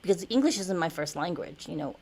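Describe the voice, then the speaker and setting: Soft-spoken woman podcast host. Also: fairly low pitch, expressive, a little fast, slightly rough. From Taiwan. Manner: conversational, searching for words mid-sentence; enthusiastic scripted intro. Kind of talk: podcast interview.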